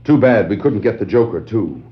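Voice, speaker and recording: An actor playing a steadfast masked crime fighter with firm confidence, in a 1960s superhero audio drama. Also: male voice, on the low side, lively intonation, moderate pace, close to an everyday voice. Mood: confident, wry. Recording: dull old record, loud background noise.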